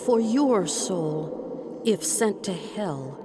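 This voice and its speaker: A woman playing a gentle, forgiving spirit from the heavens in an audiobook drama, speaking in a solemn, tender, measured way. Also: mid-range voice, expressive, background noise. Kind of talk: audiobook drama character acting.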